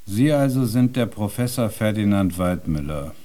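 Low-voiced grumpy deaf old composer played by a guy, pompous winding sentences, radio play. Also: lively intonation, moderate pace, mellow, close to an everyday voice. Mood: impatient, haughty. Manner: ornate, pompous, convoluted speech. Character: deaf, cantankerous, self-absorbed old male composer. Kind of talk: German radio drama monologue acting.

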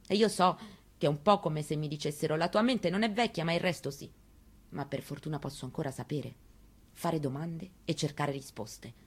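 An actress in a radio stage reading, playing an elderly grieving mother in a quiet, reflective monologue. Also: sits low, normal speed, breathy edge. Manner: quiet, reflective, conversational storytelling.

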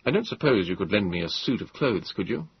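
Male narrator voicing a weary, insistent time traveller; husky, urgent. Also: fairly low pitch, sing-song, fairly quick, no echo, highs cut off, heavily acted. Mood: earnest.

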